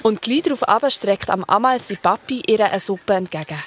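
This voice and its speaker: A woman's voice: medium pitch, normal speed. A woman with a Swiss accent narrating a children's story in a colloquial style.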